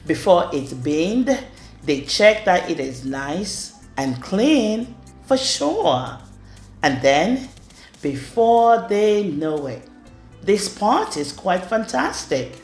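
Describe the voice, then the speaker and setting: A woman reading a rhyming children's storybook aloud in an expressive way. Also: on the low side, very slow. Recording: roomy echo with music underneath. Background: music.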